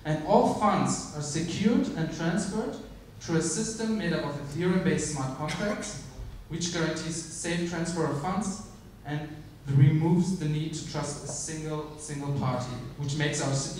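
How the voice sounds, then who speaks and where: Man, conference pitch, measured with ums, distant mic, boomy theater reverb. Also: darkish tone, slight background noise. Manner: measured, with frequent ums and restarts. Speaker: startup CEO pitching on stage. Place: large theater stage. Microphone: handheld mic, filmed from the audience. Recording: echoey hall from far off.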